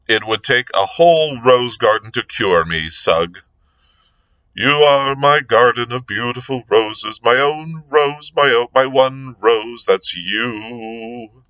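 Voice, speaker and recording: A man voicing a blithe, cheeky gentleman sleuth with a breezy, flippant, chatty manner. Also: on the low side, sing-song, a little slow, hardly any pauses, no room sound, low-quality recording. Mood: playful, teasing.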